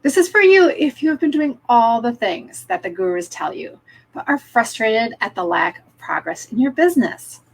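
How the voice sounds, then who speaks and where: Middle-aged woman coach, higher-pitched voice. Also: very animated, normal speed. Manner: upbeat, encouraging, often prompts viewers directly. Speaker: business coach hosting a live webinar. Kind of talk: live online presentation to viewers.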